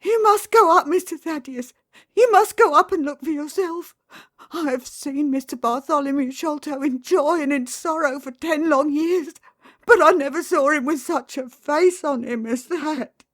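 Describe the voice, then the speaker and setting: A frightened, fussing old housekeeper pours out her worries in a very high, quavering voice. The reading is close and dry, with no room around it. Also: moderate pace, a bit bright, no echo. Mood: distraught.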